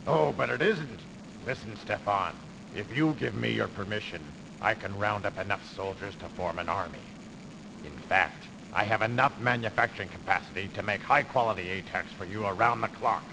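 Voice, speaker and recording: Gravelly, scheming industrialist. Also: male voice, lowish voice, normal speed. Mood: grandiose, persuasive. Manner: insinuating, persuasive, grandiose. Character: crooked, smooth-talking industrialist man, calculating, persuasive schemer. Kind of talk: theatrical video game voice acting. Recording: noisy early CD game audio.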